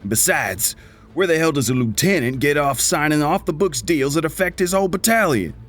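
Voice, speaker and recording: A man playing a grizzled middle-aged mercenary major, gruff, profane and folksy in a sci-fi radio play. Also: a little high, lively intonation, fairly quick, a bit bright, faint music behind, pitched above the performer's own voice. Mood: angry, incredulous.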